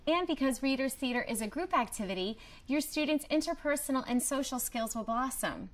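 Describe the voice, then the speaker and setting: A woman presenting a promotional training video in a scripted, clearly structured explanatory way. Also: sits high, lively intonation, brisk. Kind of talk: scripted instructional narration.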